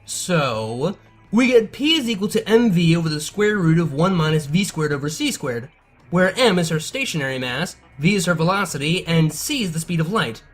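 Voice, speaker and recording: A performer plays an excitable pony scientist with a lively, swooping delivery. The explanations are precise and nerdy, made for a scripted cartoon science show, with music underneath. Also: mid-range voice, normal speed, lightly acted. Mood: methodical. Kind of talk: scripted educational dialogue. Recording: music behind the voice.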